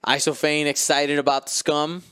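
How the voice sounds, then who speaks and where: A man's voice: fairly high pitch, little pitch movement, normal speed, a bit bright, clean tone, no room sound. A man co-hosting a live stream of a tabletop miniatures game, commentating in a chatty way and bantering playfully with viewers. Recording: close dry mic with faint music.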